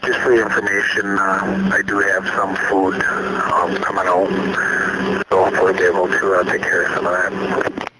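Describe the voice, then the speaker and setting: A man's voice: low voice, completely flat delivery, moderate pace. A man speaking as a police officer in terse radio transmissions. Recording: very noisy archived police radio traffic.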